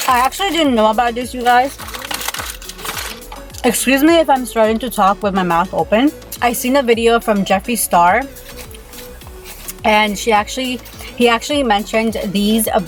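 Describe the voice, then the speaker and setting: Young woman, casual vlogger chatting between bites, mid-pitched voice, soft music underneath. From Peru. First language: Spanish. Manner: chatty, keeps saying "you guys", talks while eating. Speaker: beauty YouTuber. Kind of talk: casual mukbang vlog chat.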